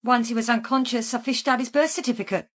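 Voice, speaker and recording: A calculating murderess in a radio crime drama. Her voice sits fairly high, and she recounts what she did in a plain, unrepentant manner, as if it were everyday business. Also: steady tone, fast, a bit bright. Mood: matter-of-fact, reminiscing. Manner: matter-of-fact, unrepentant. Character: calculating female killer calmly confessing in a police interview. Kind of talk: naturalistic radio drama acting.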